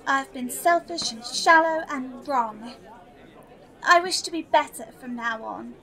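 Young girl adult actress: high-pitched, unhurried, earnest and repentant, sweetly polite audio drama role. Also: bright, forceful tone, a faint crowd, exaggerated voice, poor audio. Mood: earnest, penitent.

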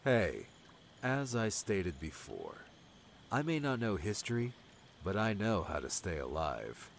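Man voicing a low-voiced, lively scrappy adventurer. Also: a little slow, vocal fry, a little hiss or hum, full character voice. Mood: defensive. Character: plain-spoken, scrappy male adventurer, reassuring survivor. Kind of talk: audiobook character dialogue.

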